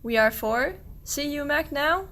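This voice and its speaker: A woman acting an excitable, suspicious shepherd in a rhyming comic radio play, with theatrical exclamations. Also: high voice, unhurried. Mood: startled, anxious. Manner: theatrical, exclamatory rhymed verse. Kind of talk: verse radio play acting of a medieval comedy.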